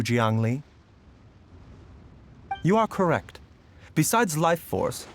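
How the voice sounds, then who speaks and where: A man voicing a calm, gentle young doctor who speaks in a measured, reassuring way. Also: mid-range voice, close to an everyday voice.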